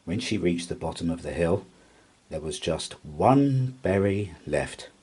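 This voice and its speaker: Slow, animated male storybook narrator. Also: deep voice, breathy edge. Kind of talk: expressive bedtime story read-aloud.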